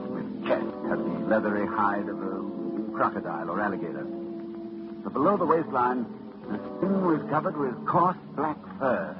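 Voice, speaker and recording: An actor playing a learned librarian-doctor who narrates his ordeal in measured, dramatic tones in an old radio play. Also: fairly low pitch. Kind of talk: 1940s radio acting. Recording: dull old radio recording with music score.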